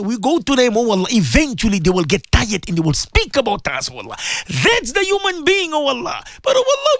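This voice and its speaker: A woman leading an impassioned, tearful prayer, pleading and swinging between shouted cries and sobbing whispers. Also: sits high, no echo, studio quality. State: crying. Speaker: leader of a prayer.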